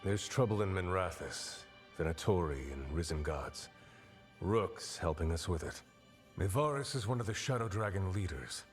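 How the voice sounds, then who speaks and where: An actor playing a steady, concerned resistance fighter in a fantasy video game, with a measured, protective way of talking. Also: male voice, sits low. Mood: serious. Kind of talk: dramatic video game acting. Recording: loud music behind the voice.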